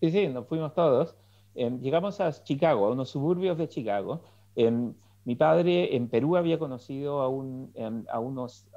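A man's voice: medium pitch, mellow, no room sound. A middle-aged man, a political scientist guest on a video call, recounting his life with many ums and restarts. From Chile. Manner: reflective storytelling with many fillers and restarts. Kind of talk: interview over video call. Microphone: video call headset. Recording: muffled dry video call audio.

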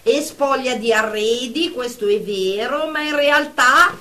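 A woman's voice: high voice, a little slow, pushed and bright. A woman playing a formal, officious psychotherapist running group therapy, clinical and stern, in an audio comedy drama. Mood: clinical, explaining.

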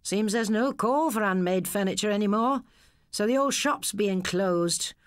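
An English woman voicing a weary, wounded middle-aged working man in a storybook, slow and plain-spoken. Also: mid-range voice, no room sound, studio quality, pitched above the performer's own voice, natural voice. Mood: dejected.

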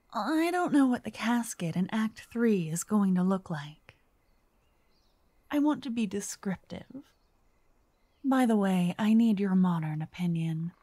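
A woman playing a playful, doting vampire writer in a soft ASMR roleplay, breathy and often whispering, with theatrical, old-fashioned wording. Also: mid-range voice, no room sound. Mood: mock indignant, flustered. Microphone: close, dry microphone.

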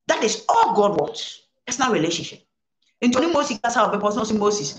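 A woman's voice: mid-range voice, echoey room, rough recording. A woman reverend with a Ghanaian accent, answering interview questions in a preaching manner, mixing English and Twi and repeating her phrases for emphasis. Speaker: reverend guest. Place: studio set, indoors.

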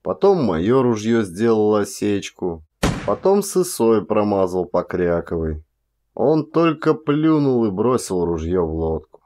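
A man with a fairly low voice narrates literary fiction. His delivery is measured and expressive, like an actor's dramatic audiobook reading.

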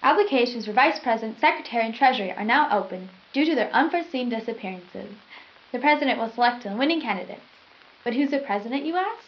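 A woman's voice: a little high, expressive, fast, natural voice. A young woman playing a chipper, eerily reassuring teenage school news anchor in scripted presenting style. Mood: upbeat. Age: young adult. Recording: dull lo-fi 1990s VHS sound.

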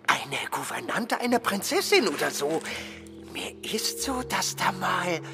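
A childish old ghost from a children's radio play. The voice is high and swings widely in theatrical, drawn-out grumbles. It rings through a noisy, reverberant hall. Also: male voice, very animated, creaky, bright, forceful tone, faint music behind, heavily acted. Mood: mischievous. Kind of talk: audio drama acting.

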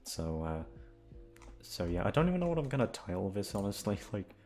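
A man's voice: fairly low pitch, big pitch swings, a little fast, a little mellow, faint music behind. A young man chatting off the cuff to his listeners, rambling and hesitant, with casual swearing. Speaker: ASMR audio creator, voice actor.

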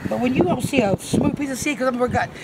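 A woman's voice: sits high, fast. Profane, rambling woman outdoors. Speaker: street interviewee. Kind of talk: heated street talk. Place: outdoor parking lot. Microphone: camera phone held by interviewer. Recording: noisy outdoor phone recording.

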